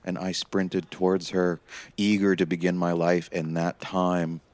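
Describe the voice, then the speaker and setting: A man playing a weary, haunted time-traveling prisoner who narrates his memories in a measured, somber, reflective way, as in an audio play. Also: sits low, completely flat delivery, dry recording. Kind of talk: scripted first-person dramatic narration.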